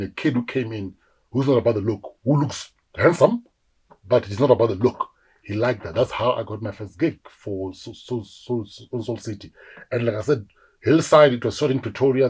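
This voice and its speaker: A South African man in his forties talks through his career in a relaxed interview. His voice is husky and mid-pitched. He tells his stories with energy and acts out the voices of the people he quotes. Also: rough recording. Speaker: actor guest. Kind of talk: casual interview storytelling.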